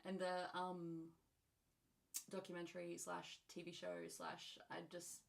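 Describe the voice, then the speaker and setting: A woman's voice: fairly low pitch, completely flat delivery, a little slow, gravelly. A woman co-hosting a live stream who reads the chat messages aloud in a light, playful way. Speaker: co-host reading the live chat.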